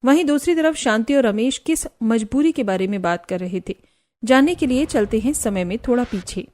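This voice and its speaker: A woman's voice: on the high side, expressive, normal speed, darkish tone, a little hiss or hum. A woman narrator reading a scripted story for a Hindi family cartoon.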